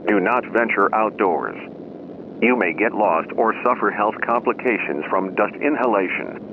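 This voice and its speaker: A man reading a scripted emergency announcement as a radio station announcer, in a formal, measured manner. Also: on the low side, animated. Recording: dull lo-fi radio sound, loud noise.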